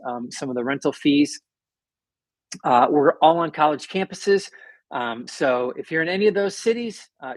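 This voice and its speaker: A man with a soothing, somewhat high-pitched voice talks casually on a livestream. His delivery is conversational and rambling, with a self-deprecating touch. The sound is dry, with no room echo. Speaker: livestream host, author. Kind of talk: casual livestream chat, reading and answering viewer comments.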